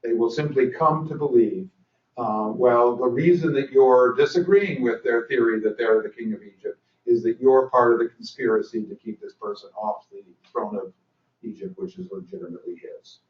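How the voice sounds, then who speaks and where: A man's voice: medium pitch, normal speed. An older man giving a lecture at a training session, talking with dry humor and lots of ums and restarts. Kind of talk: conference lecture. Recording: roomy, distant, dull lecture recording.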